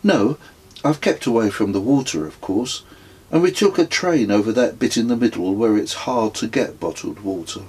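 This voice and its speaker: Man voicing a brisk, prim middle-aged Englishwoman, lifted pitch. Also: lively intonation, a little mellow, husky, low-quality recording. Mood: brisk, cheery. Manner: brisk, cheery, clipped.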